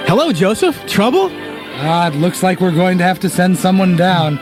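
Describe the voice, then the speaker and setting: A man's voice: sits high, lively intonation, moderate pace, music in the background. A man playing a guardian angel in an amateur radio play, with a casual script-reading delivery. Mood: concerned. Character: male guardian angel watching over a troubled mortal.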